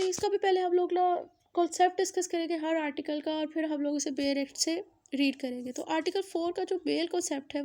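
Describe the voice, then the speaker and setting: High-pitched Pakistani woman lecturing. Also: darkish tone. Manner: didactic, repeats and rephrases points. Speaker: law lecturer. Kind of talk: explanatory lecture mixing in English legal terms.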